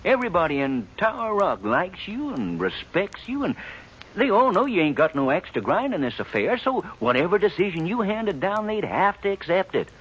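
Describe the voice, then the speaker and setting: A man's voice: high voice, normal speed, dull audio. An American man playing a flustered, wheedling small-town undertaker in a 1950s Western radio drama, stammering and rustic as he sweet-talks. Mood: wheedling, persuasive. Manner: stammering, rustic, excitable, persuasive. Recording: 1950s radio recording with faint noise.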